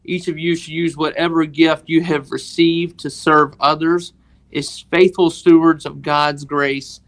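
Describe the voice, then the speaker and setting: A man with a Mississippi accent, telling a personal story on a podcast in a halting, earnest way. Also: on the high side, level tone, a bit bright. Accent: Southern US, Mississippi. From northeast Mississippi, United States. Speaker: podcast guest, organization member telling his story.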